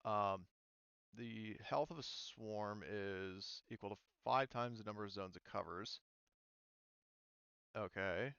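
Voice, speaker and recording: A man acting as game master, casually refereeing a tabletop game and thinking aloud in a halting way. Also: on the low side, creaky, a bit bright, smooth, no room sound, dull audio.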